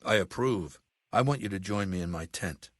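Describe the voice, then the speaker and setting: An American man acting in a video game as a commanding, ruthless middle-aged warlord, speaking in a measured, blunt and imperious way. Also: on the low side, a bit bright, no echo, studio quality.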